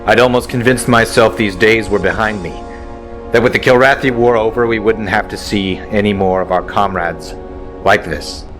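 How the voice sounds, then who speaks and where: A man playing a weary fighter-wing colonel who speaks in a solemn, measured way in a fan audio drama. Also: on the low side, a bit bright, faint music behind, lightly acted. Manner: solemn and measured, dryly blunt in private. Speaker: colonel and squadron commander.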